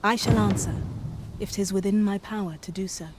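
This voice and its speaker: A woman playing a solemn otherworldly guide in a fantasy game, speaking archaic English in a formal, measured, deferential way. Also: medium pitch, steady tone, noisy background, close to an everyday voice. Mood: solemn, courteous. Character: otherworldly female Pawn, solemn, dutiful servant guide.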